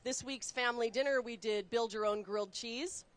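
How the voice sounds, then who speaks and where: Woman chef, Texas-raised, live cooking demo, chatty, laughs easily, slightly high voice. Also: normal speed. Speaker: guest chef. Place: studio kitchen.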